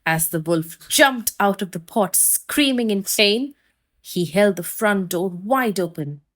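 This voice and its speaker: Slow, expressive woman storyteller. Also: medium pitch. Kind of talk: children's read-aloud narration.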